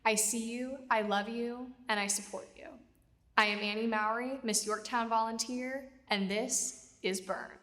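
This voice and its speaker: A woman's voice: on the high side, fairly even intonation, measured pace, a bit bright. Earnest young woman in a reverberant hall. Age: young adult. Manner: earnest, heartfelt. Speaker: pageant contestant. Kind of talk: scripted talent introduction. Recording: long reverb of a large hall.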